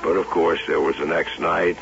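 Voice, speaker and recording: An actor playing an obsessive, excitable carnival showman in an old-time radio drama, by turns musing and defensive. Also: extremely low pitch, moderate pace, creaky, faint music behind, full character voice. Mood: musing, rueful. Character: obsessive carnival showman and inventor, smitten with his wax doll. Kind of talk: old-time radio drama acting with first-person narration. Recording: muffled, hissy old radio recording.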